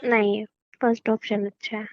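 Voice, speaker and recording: A woman's voice: medium pitch, flat delivery, moderate pace, no room sound, muffled recording, lightly acted. A woman playing a feisty, playfully sulky wife who argues conversationally in a domestic audio drama. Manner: pouty, argumentative, conversational. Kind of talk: improvised-sounding domestic audio drama, casual Hindi banter.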